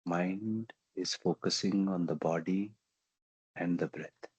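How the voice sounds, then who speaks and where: A man with an Indian accent leading a guided meditation in gentle, simple repeated phrases with long pauses. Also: low-pitched, fairly even intonation, husky, no echo, dull audio. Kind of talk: guided meditation, slow calming instruction.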